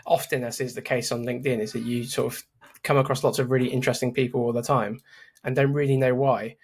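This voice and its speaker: A man's voice: mid-range voice, little pitch movement, fast, husky. Chatty male podcast host. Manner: friendly, restarts sentences often. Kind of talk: casual interview chat.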